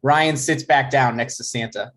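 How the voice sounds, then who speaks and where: A man's voice: medium pitch, moderate pace, fairly bright tone. A man reads scripted stage directions as narrator of a table read. His voice sits in a middle register, and he delivers scene headings and action lines.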